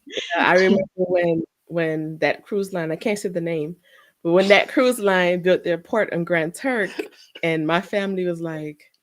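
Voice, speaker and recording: A woman guest talking in a relaxed, plainspoken way, with light laughs. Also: fairly low pitch, measured pace. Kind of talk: casual interview chat.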